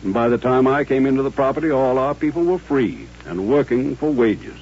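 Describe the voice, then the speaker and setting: A man plays a dignified colonel of middle age in an old radio drama. He speaks formally and courteously, at a middling pitch. The recording is muffled, with a faint hum. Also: fairly quick, darkish tone, lightly acted. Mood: grave, dignified. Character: courtly, dignified older Southern colonel turned rancher.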